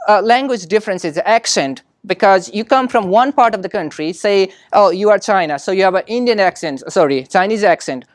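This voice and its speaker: A man with a non-native English accent giving an informal lecture as an academic speaker, in halting, repetitive phrases dotted with rhetorical questions. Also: high-pitched, dry recording, clean studio sound. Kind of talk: informal lecture drawing on own experience.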